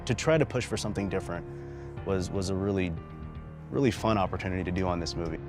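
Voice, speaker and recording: Deep-voiced man discussing a film. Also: fast. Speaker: filmmaker interviewed in featurette. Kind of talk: interview commentary on a film. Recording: music behind.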